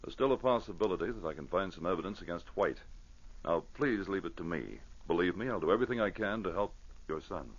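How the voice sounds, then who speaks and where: A steady police sergeant, sympathetic yet firm. His voice is deep and husky with lively inflection, earnest and measured in old radio style. The vintage recording sounds dull. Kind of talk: old-time radio drama acting.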